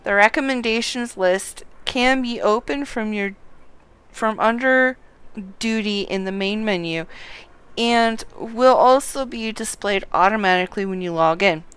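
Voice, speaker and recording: A woman's voice: mid-range voice, a little slow, fairly bright tone, clean tone. A woman game streamer giving a casual walkthrough, chatting and reading quest text aloud.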